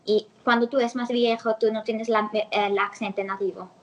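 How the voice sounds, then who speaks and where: A woman's voice: a little high, fairly even intonation, normal speed, lo-fi sound. Giggly American teen girl, searching for words. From United States. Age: teens. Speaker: interviewee. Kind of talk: casual multilingual chat.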